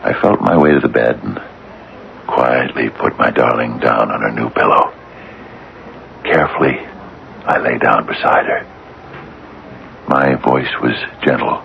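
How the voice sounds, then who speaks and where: A man's voice: very deep voice, fairly even intonation, a little slow, vocal fry, long pauses, full character voice. An actor playing a fussy, hesitant older father who dotes obsessively on his cat, in an old-time radio drama. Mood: tender narration. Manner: fussy, hesitant, fond murmuring. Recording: dull, noisy old radio recording.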